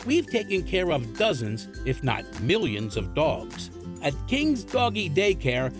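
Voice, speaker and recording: A man playing a cheery, not-quite-human dog daycare owner in an exaggerated local commercial, over music. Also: high voice. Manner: salesy, exaggerated swooping emphasis. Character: cheery Mister King, alien dog-daycare owner doing an ad. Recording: music bed under the voice.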